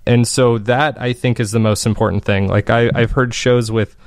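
A man's voice: medium pitch, fairly even intonation, moderate pace, dry recording, clean studio sound. A man speaking conversationally as an interviewed podcast creator, explaining his process in a thoughtful, casually phrased way.

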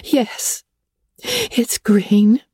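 A woman voicing a dramatic, imaginative orphan girl who speaks high, breathless and tearful, often dropping to a whisper. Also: fairly even intonation, measured pace, dark tone, no echo, clean studio sound. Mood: crying. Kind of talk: audiobook character reading.

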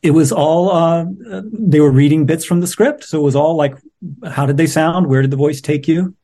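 A middle-aged man talking as an interviewed playwright, low-key and casual, a little halting. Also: mellow. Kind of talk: casual chat.

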